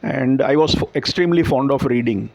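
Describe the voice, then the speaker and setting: A man's voice: medium pitch, fairly quick, creaky, darkish tone. A man with a Gujarati-influenced Indian English accent, Gujarati his first language, talking thoughtfully and explaining with examples and small self-corrections. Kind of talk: reflective conversational talk.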